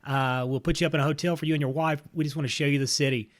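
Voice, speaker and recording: A man's voice: on the high side, steady tone, very fast. Guy, middle-aged missionary, earnest and chatty, lots of uhs and restarts. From North Mississippi, US. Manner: earnest, with frequent fillers and restarts. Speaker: missionary and church planter. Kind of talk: casual interview storytelling.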